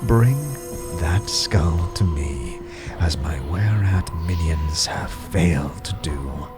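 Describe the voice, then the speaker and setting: An actor playing a withered, imperious old man with a grim, gravelly voice, formal and menacing, in a fantasy audio drama. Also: a bass voice, animated, slow, over loud music, full character voice. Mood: commanding.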